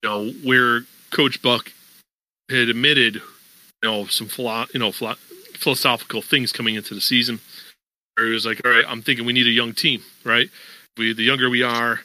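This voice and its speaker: Bright-voiced man on a sports podcast. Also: medium pitch, steady tone, normal speed, no echo, rough recording. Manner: conversational, many fillers, explains at length. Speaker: podcast host. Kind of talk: casual sports podcast talk.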